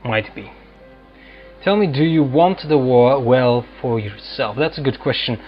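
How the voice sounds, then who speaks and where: Male gaming host, casual and hesitant, slightly muffled lo-fi mic with soft game music behind. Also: mid-range voice, measured pace. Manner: hesitant, thinking aloud. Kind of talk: casual let's-play commentary. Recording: dull lo-fi microphone, game music underneath.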